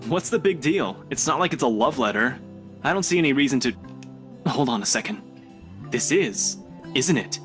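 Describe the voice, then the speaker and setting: A man's voice: medium pitch, expressive, moderate pace, long pauses, fairly bright tone, lightly acted. An actor playing a skeptical, mocking young man in a visual novel, blunt and dismissive in his delivery. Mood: teasing, curious. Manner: sarcastic, blunt. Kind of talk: dramatic visual novel voice acting. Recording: music under the dialogue.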